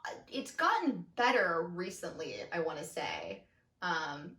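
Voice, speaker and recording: A young woman co-hosting a casual chat, with a loud, carrying voice and an expressive, animated delivery. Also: lowish voice. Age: young adult. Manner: expressive, animated, quick to laugh. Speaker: co-host, daughter. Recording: roomy, mic at a distance.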